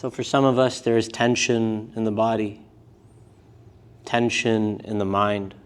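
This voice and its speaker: A man teaching a live yoga and meditation class, speaking gently in short, unhurried phrases with pauses between them. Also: fairly low pitch, level tone, clear, resonant tone. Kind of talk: guided meditation class instruction.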